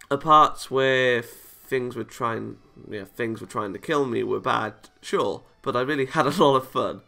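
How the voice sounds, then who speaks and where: Bright-voiced man reading a visual novel, creaky. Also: medium pitch, slow pace. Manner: chuckles and comments between read lines. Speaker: video game let's player. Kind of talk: reading visual novel narration aloud with casual reactions.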